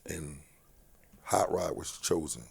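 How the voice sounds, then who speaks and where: A man's voice: extremely low pitch, expressive, slow pace, creaky, slightly rough. A middle-aged man from Philadelphia with a big voice, talking bluntly and with profanity as a guest in a casual interview.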